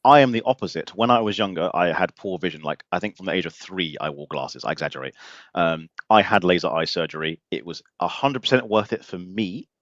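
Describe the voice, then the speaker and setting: A man of about forty with a very deep voice hosts the chat, firing off questions quickly in a joking, informal way. His sound is dull and dry, with no room around it. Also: lively intonation, fairly bright tone, no echo. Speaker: podcast host. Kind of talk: casual interview chat.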